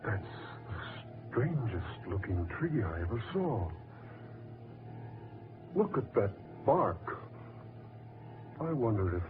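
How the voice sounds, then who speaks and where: Slow, expressive gentleman in radio horror. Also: on the low side, music in the background. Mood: curious. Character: curious, sceptical gentleman leading companions into eerie woods. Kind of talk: 1940s radio horror acting. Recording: muffled, hissy 1940s radio recording.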